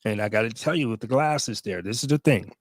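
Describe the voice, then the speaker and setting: A man's voice: mid-range voice, expressive, fairly quick, dry recording, studio quality. A man in his late fifties, a veteran vlogger talking casually to his viewers, rambling with many fillers and restarts. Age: 50s. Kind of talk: casual talk to camera.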